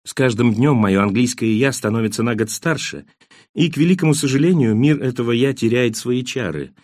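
A man voicing a reflective, melancholy immigrant with dry irony and a measured, literary way of talking, in an audiobook. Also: mid-range voice, darkish tone, no room sound, natural voice. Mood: ironic, wistful.

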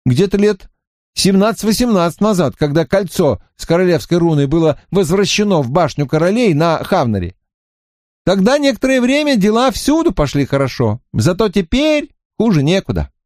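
A man playing a fervent young wizard student, pitched up. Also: sing-song, normal speed, darkish tone, no echo, clean studio sound. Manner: earnest, fervent, slightly mocking. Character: eager talkative young male wizard student.

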